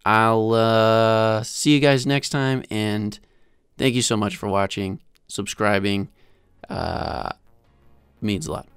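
Clear, low-voiced man giving a casual tutorial. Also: steady tone, no room sound, clean studio sound. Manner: informal, self-deprecating, halting. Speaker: host of a software tutorial channel. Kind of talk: casual screen-share tutorial narration. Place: small indoor room at home. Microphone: desktop microphone close to the mouth.

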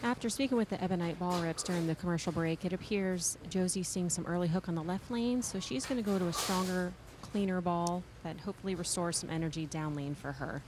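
A woman with a somewhat low voice gives a brisk sideline report. Her delivery is informative and to the point. Crowd and noise are clearly heard behind her. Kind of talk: scripted news report.

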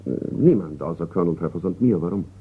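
Very deep-voiced, reserved businessman. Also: lively intonation, husky, exaggerated voice. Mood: guarded. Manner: measured, polite. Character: reserved, courteous American businessman and collector. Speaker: actor in a radio crime play. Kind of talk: German radio drama acting. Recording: tinny, noisy radio recording.

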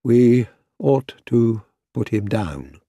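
A man voicing a grave army vet in a story, halting and sombre in delivery. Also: medium pitch, lively intonation, warm tone, dry recording, studio quality, exaggerated voice. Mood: grave, reluctant. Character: grave male army vet, an expert on horses.

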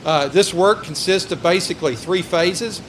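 A man's voice: sits high, moderate pace, fairly bright tone, a little hiss or hum. A middle-aged man reading prepared remarks at a stand microphone outdoors, with frequent hesitant fillers. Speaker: local official. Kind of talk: introduction speech and technical explanation. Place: outdoors by a river.

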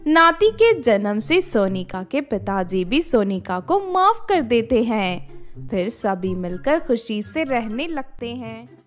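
A woman reading scripted fairy-tale narration as the storyteller of a children's cartoon. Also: fairly high pitch. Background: background music.

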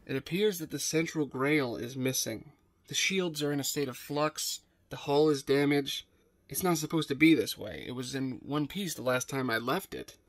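A man's voice: medium pitch, normal speed, no room sound, close to an everyday voice. A man playing a polite, deferential ship's AI with formal, precise phrasing in an audio drama. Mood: matter-of-fact. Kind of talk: audio drama table read.

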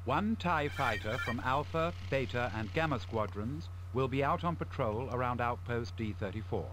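A man's voice: medium pitch, lively intonation, moderate pace, background noise, lightly acted. A man playing a businesslike Imperial briefing officer in a game, giving a formal, clipped military mission briefing.

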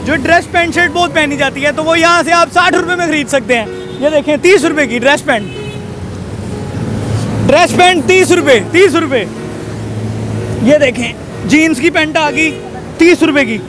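A young man, presenting a street market to camera in an energetic, repetitive salesman's way. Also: very high-pitched, normal speed, long pauses, over music. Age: 20s. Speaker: street vlogger and host. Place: outdoor roadside market. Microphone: handheld recorder held at chest. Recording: noisy busy street with traffic and crowd. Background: crowd and passing vehicles.

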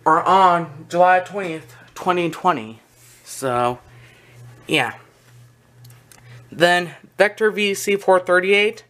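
A man's voice: mid-range voice, bright, forceful tone. A man narrating a casual, unscripted show-and-tell as a video host, speaking hesitantly with trailing asides. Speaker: video host, hobby collector. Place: small indoor room with wooden shelves. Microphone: handheld camera's built-in mic, behind the camera. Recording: noisy handheld camera audio, faint room noise.

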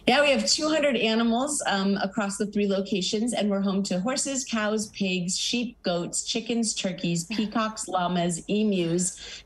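A woman's voice: mid-range voice, rough recording. A middle-aged woman, founder of an animal sanctuary, speaking earnestly over a video call from a home office in a news interview. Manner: earnest, lists things in long runs. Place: home office with pictures on the wall. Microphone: video call webcam.

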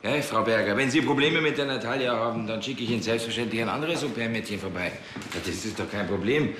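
An actor playing a strict, self-important au pair agency boss in a TV crime drama, speaking formally. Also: male voice, medium pitch, quick pace, echoey room, noisy background, close to an everyday voice. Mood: placating.

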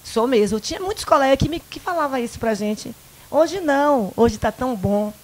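A woman in her fifties from the Bahia countryside with a Bahian Brazilian accent, a guest in a small local studio interview, telling lively personal stories into a handheld mic and acting out the dialogue. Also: sits high, moderate pace, low-quality recording. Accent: Bahian Brazilian Portuguese. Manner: animated, acts out quoted dialogue, frequent "né?". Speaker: guest, event producer. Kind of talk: casual interview storytelling.

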